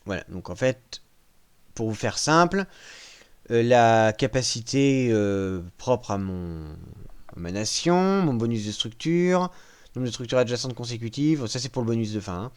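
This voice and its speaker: A man casually walking viewers through a strategy video game, joking at his own expense and pausing often with fillers. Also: mid-range voice, animated, clean tone. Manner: self-mocking, hesitant with frequent fillers. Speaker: gaming channel host. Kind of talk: casual gameplay walkthrough.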